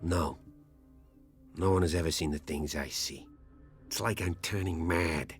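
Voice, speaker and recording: A very deep-voiced troubled man. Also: fairly even intonation, measured pace, soft background music. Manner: hesitant, brooding. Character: troubled, sleepless, self-conscious male patient. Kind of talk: video game cutscene acting.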